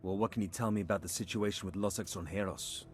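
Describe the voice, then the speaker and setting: An actor playing a tough, calm special forces leader, terse and commanding, in a video game. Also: male voice, low voice, fast talker, faint music behind, close to an everyday voice. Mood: resolute. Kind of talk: naturalistic video game cutscene acting.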